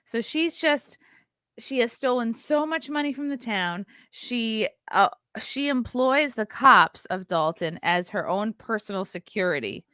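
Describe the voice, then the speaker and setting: A woman hosting a casual commentary podcast, talking in a profane, animated way and mimicking the people she discusses. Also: a little high, measured pace, no echo, clean studio sound. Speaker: comedian podcast host.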